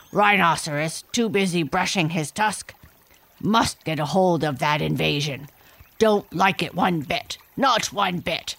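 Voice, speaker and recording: A woman's voice: on the low side, fairly bright tone, no echo, heavily acted. A woman voicing a grumpy male boar who mutters suspiciously to himself. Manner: muttering, fussy.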